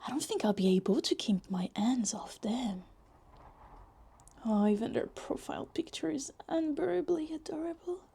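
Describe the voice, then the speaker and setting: Woman acting a high-voiced, possessive, obsessive young woman. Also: moderate pace, vocal fry, dark tone, breathy edge, heavily acted. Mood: obsessive, adoring. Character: possessive, obsessive young woman scheming over her crush. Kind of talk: roleplay ASMR monologue, talking to herself.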